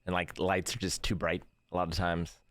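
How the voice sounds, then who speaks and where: A man on a podcast speaking calmly and matter-of-factly in casual chat, with many fillers. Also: sits low, moderate pace, no echo. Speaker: podcast co-host.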